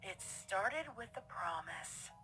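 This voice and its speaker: A woman narrating a scripted fan-fiction story in a theatrical, deliberate storytelling manner. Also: mid-range voice, expressive, gravelly, music in the background, low-quality recording.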